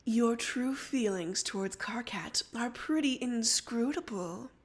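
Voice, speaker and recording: A narrator voicing a composed, slyly teasing teenage girl with a patient, purring, measured delivery in a story reading. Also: mid-range voice, voice pushed up.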